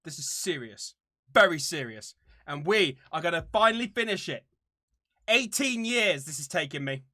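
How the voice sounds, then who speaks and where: A man's voice: on the high side, big pitch swings, normal speed, sharp tone, no room sound, clean studio sound. A man of about thirty chatting casually to viewers as a channel host, in a playful, theatrically mock-serious way. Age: around 30.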